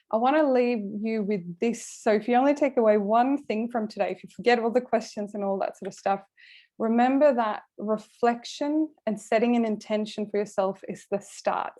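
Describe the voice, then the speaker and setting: A woman's voice: medium pitch, dark tone. Woman coaching an online class. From Sweden. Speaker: career coach. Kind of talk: online masterclass presentation.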